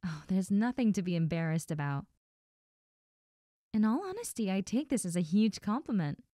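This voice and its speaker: A woman playing a best friend's friendly, nosy older sister in an intimate roleplay audio drama, talking softly and coyly straight to the listener. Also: medium pitch, sing-song, moderate pace, dry recording, clean studio sound, natural voice. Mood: teasing, reassuring. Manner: soft, gentle, coy, addressing the listener directly.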